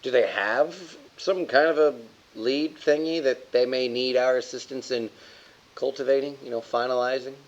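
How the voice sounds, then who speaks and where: A man's voice: mid-range voice, moderate pace, pitched above the performer's own voice, close to an everyday voice. A man playing a polite, diplomatic federal agent with a courteous, formal way of talking in a tabletop game. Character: polite, diplomatic FBI agent, cooperative newcomer. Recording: dull audio with faint hiss.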